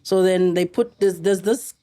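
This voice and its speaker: A middle-aged South African woman telling a story as a podcast guest, earnest and halting, often restarting her sentences. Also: lowish voice, mellow. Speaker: actress guest.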